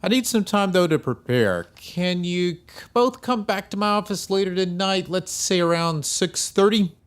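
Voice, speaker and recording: An actor playing a businesslike lawyer who lays out case details in a measured way. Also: male voice, sits high, expressive, clear, resonant tone. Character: calm lawyer advising a couple.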